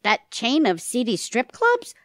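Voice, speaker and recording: An actress playing a harried, sardonic older marketing executive with a dry, exasperated manner in an audio theatre comedy. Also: female voice, fairly high pitch, wildly swooping pitch, a little slow, a bit bright, dry recording, full character voice.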